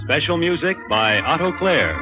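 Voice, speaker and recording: A male 1940s radio announcer with a fairly high, very animated delivery. He reads scripted credits over loud organ music on a dull old broadcast. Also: normal speed.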